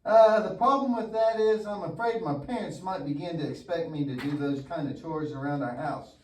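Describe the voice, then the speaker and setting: A man's voice: a little high, moderate pace, a little hiss or hum, lightly acted. A man playing a goofy, lazy, mischievous young boy puppet in a church show, with an exaggerated, wisecracking delivery. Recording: echoey room, distant mic.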